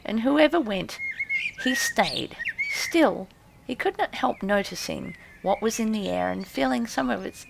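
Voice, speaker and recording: A woman narrating an audiobook in an expressive storybook style. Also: mid-range voice, creaky, background noise.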